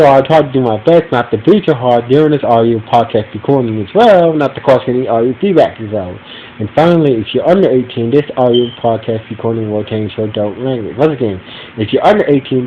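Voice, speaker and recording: A man's voice: medium pitch, highs cut off, a little hiss or hum. A man with a stuffy nose hosting a casual podcast, recapping an episode in a rambling way that repeats phrases and keeps restarting sentences. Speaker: podcast host reviewing an episode. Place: room at home with a fan running. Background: humming fan.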